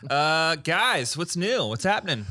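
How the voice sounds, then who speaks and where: Lively, playful man hosting a podcast. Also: a little high, a little slow, dry recording, studio quality. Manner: playful, jokey, laughs often. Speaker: podcast host. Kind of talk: casual church podcast chat.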